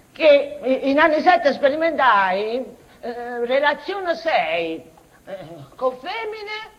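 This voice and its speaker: High-pitched unhinged former schoolteacher woman. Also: heavily acted. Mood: plaintive, confused. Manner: halting, repetitive, swinging between outbursts and muttering. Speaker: stage actress in a monologue. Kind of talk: theatrical comic-tragic monologue, broken invented dialect. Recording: dull, noisy theatre recording.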